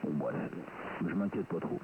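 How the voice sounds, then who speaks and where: A man's voice: low voice, fairly even intonation, normal speed. A man chatting informally and hesitantly over a two-way radio. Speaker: radio amateur. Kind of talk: casual radio chat. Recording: tinny, very noisy radio link.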